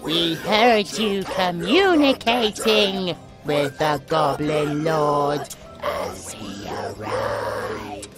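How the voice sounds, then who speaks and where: A woman's voice: low voice, heavily acted. A woman playing a sadistic, playful demon monster in a horror audio drama, gloating and speaking as a collective. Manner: slow, gloating, speaks of itself as we. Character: sadistic, playful hive-mind demon monster hungry for blood.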